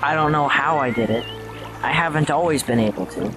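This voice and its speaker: Anguished, defensive teenage boy played by a man, lighter high register over music, audio drama. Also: a little fast, close to an everyday voice. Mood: sheepish, apologetic. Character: troubled, apologetic teenage boy fighting a possessing voice.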